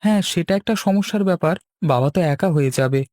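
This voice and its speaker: A man acts out a young village man for a cartoon. He is polite, deferential and hesitant, speaking fast in a raised voice with a dry sound. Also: fairly high pitch, a little mellow, no room sound, pitched above the performer's own voice, lightly acted. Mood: hesitant, respectful. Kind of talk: Bengali cartoon dubbing.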